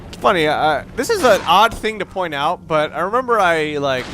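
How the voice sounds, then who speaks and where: A man giving casual, opinionated gameplay commentary, rambling and halting as he goes, with casual swearing. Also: fairly high pitch, big pitch swings, a bit bright, a little hiss or hum. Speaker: let's play gamer commentator.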